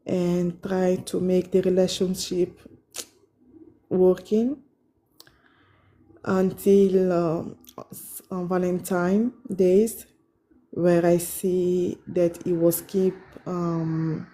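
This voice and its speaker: A woman's voice: on the low side, mellow. A woman caller with an Italian and French accent, a non-native English speaker, hesitating and searching for words as she explains a hard situation. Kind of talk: caller on a live stream.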